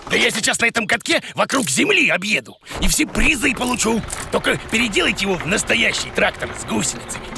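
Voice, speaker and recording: An actor playing a boastful, clueless bearded creature teacher in a children's cartoon, exclaiming theatrically and self-importantly. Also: male voice, high-pitched, big pitch swings, quick pace, gravelly, hardly any pauses, noisy background, heavily acted. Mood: huffy, stubborn. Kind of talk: animated children's cartoon voice acting.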